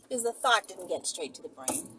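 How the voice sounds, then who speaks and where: Female comic vlogger, very animated delivery, mock-pitying and teasing, casual phone recording with heavy background noise. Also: lowish voice. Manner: teasing, mock-sympathetic, drawn-out emphasis. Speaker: vlogger addressing viewers. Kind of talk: casual comedic monologue to camera. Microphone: phone held or propped. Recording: distant phone mic, loud background noise.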